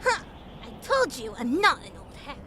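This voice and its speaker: A woman's voice: high voice, sing-song, moderate pace, sharp tone, a little hiss or hum, exaggerated voice. A woman playing a flustered, cheeky teenage idol girl in an anime fan dub, with a girlish, theatrical delivery. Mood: cheeky, teasing. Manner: exclamatory, theatrical. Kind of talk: anime fandub acting. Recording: music behind the voice.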